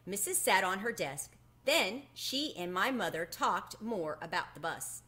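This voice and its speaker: Woman reading a kids book out loud, really lively pitch, bright voice. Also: unhurried. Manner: animated, storytelling delivery. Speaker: book reader. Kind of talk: expressive children's read-aloud. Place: home room with bookshelves, indoors. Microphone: webcam at desk distance.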